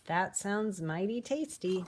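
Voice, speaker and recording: A woman's voice: mid-range voice, lively intonation, takes it slowly, slight background noise. Appreciative storybook policewoman female reader, polite and emphatic.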